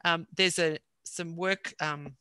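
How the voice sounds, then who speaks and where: A woman's voice: lowish voice, fairly even intonation, slow, pushed and bright, smooth, no echo. An older woman explaining ideas earnestly on a video call from her home study, with frequent ums. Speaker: guest speaker. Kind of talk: lecture with audience questions. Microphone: headset on video call.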